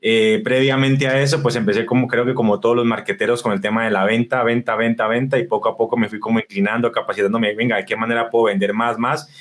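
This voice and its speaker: Man, podcast guest, relaxed and talkative, mid-pitched voice. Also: fast talker, few pauses. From Colombia, raised in northern Spain. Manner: relaxed, talkative, with frequent fillers and restarts. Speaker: guest, marketing specialist. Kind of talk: conversational podcast interview.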